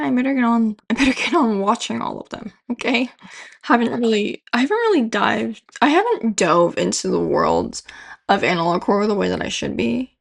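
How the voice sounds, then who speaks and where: A woman's voice: fairly high pitch, creaky. A young adult woman giving casual, informal, slangy video commentary as a host. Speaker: YouTube horror video host.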